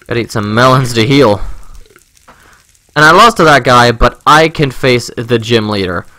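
A man's voice: mid-range voice, expressive, normal speed, sharp tone, dry recording, low-quality recording. A man giving casual, informal let's-play commentary, rambling and thinking aloud into a close microphone. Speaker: gaming video host.

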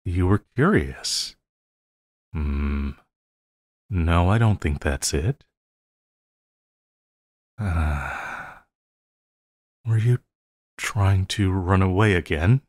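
A man playing a possessive supervillain lover in an intimate audio roleplay, coaxing and pleading with a halting delivery and quiet menace. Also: low voice, expressive, no echo, clean studio sound, exaggerated voice. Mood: suspicious, wounded.

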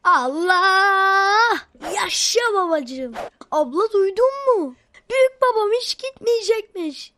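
Young cartoon child, very high voice, eager, devout, inquisitive, excitable. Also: female voice, takes it slowly, heavily acted. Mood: delighted, excited. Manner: childlike, excitable, full of questions.

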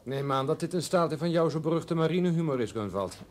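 An actor playing a tired, easily disgusted police detective in a radio drama. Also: male voice, a little high, expressive, fairly quick, close to an everyday voice. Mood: disapproving. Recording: faint background noise.

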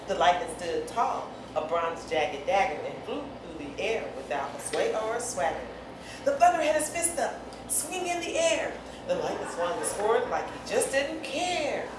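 A middle-aged woman with a fairly high voice reads and talks to children with playful expression. She is recorded in a strongly reverberant room, with clear background noise. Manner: expressive, playful, teasing questions. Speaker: author reading her poem to children. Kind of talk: animated poem reading and chat with children. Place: bare indoor room with office chairs. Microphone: far camera microphone. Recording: echoey room, far mic, noisy.

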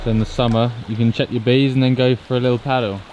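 A young man hosts a vlog in a curious, easygoing way and laughs often. His pitch is ordinary for a man. Music plays clearly under his voice. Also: flat delivery, fast talker, a little mellow, rough recording. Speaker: vlogger host. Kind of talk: casual vlog chat. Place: outdoors by a river and in a van.

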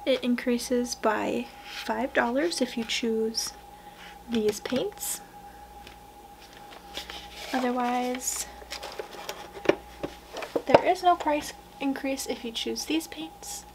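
A young woman doing a soft-spoken ASMR roleplay, hushed and gentle, often slipping into whispers, close to the mic in a small room. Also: fairly high pitch, extremely slow, creaky, pauses often, noisy background. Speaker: ASMR roleplayer playing a portrait painter. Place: small wood-panelled room indoors.

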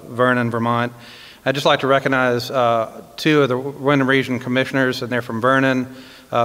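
A middle-aged man from Southwestern Virginia speaks at a podium microphone in a hall with a seated audience. His delivery is measured, with the occasional "um" and "uh". A long reverb trails each phrase. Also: medium pitch, fairly bright tone. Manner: measured, with frequent fillers. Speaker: regional commission executive director. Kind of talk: prepared public address.

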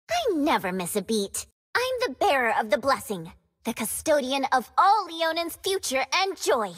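A high-pitched, lively young feline heroine from a video game. She is cheeky and mischievous, with a proud, spirited streak and swooping intonation. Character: playful young female cat-like hero, mischievous and proud. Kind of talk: video game character voice lines.

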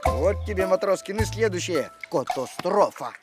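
Playful cartoon dog guy, voiced by an actor, voice bounces up and down, loud music behind. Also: mid-range voice, moderate pace, close to an everyday voice. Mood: concerned. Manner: joking, animated. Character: friendly, playful, good-hearted male cartoon dog. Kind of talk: animated series dialogue.

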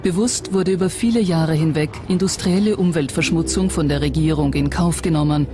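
A documentary narrator reading a script in a measured, serious manner. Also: mid-range voice, noisy background. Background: music under the voice.

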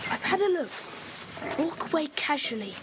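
An actor playing a brisk, commanding boy who leads a children's club in an audio drama, on a hissy tape. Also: sits high, sing-song. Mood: eager. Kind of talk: radio play acting.

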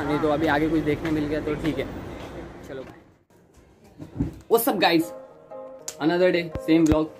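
A young man talks casually to the handheld phone camera as he walks a busy city street, dropping English phrases into Hindi. His voice sits a little high. A loud hip hop beat plays under everything he says. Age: young adult. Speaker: vlogger. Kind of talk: casual vlog chat. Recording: loud background music.

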